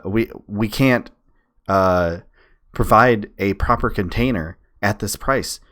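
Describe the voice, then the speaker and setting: A man's voice: fairly low pitch, fairly bright tone. A man arguing casually and emphatically, repeating his points as in an online debate. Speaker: streamer and debater. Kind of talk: casual argumentative debate talk.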